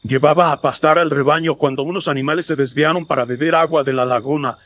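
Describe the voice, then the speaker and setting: A man voicing a grim, plain-spoken rustic herdsman who speaks gravely in a horror radio drama. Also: fairly high pitch, steady tone, fast talker, a bit bright, no room sound, pitched above the performer's own voice, natural voice. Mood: grim, accusing.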